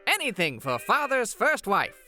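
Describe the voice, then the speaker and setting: A woman's voice: a little high, wildly swooping pitch, normal speed, sharp tone, faint music behind, exaggerated voice. A woman playing a naive, obedient chauffeur brother, eager to please, in a comic audio drama.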